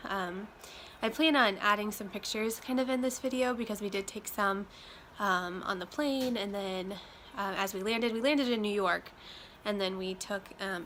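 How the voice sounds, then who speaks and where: A woman's voice: medium pitch, fairly bright tone. Nasal young woman chatting at home. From Iowa. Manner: chatty, many ums, loose and rambling. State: slightly nasal from a chest cold. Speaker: vlogger. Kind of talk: casual talk to camera. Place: home room with windows open. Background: faint road traffic and wind chimes.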